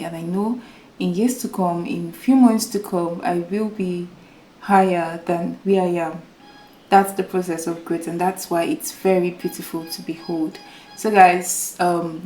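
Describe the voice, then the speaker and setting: A woman with a small, soft voice speaks earnestly to her viewers, circling back over her points. Her tone is dark and mellow. The room around her rings with echo. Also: fairly low pitch. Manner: earnest and repetitive, addresses viewers as guys. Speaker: YouTuber. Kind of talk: motivational talk to camera. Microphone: new microphone without full setup.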